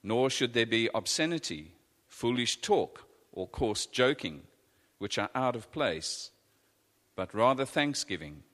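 A man reading scripture aloud in a measured, deliberate way. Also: mid-range voice, sing-song, fairly bright tone. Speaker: scripture reader. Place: church. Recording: echoey church hall.

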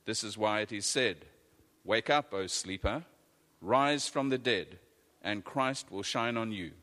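Male scripture reader, lowish voice, deliberate delivery, long church reverb. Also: pushed and bright. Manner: measured, deliberate reading. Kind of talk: scripture reading. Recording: echoey church hall.

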